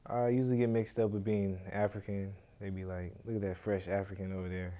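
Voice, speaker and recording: Low, mellow-voiced young man from Haiti. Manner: relaxed, halting with fillers. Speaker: interviewee. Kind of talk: casual interview answers.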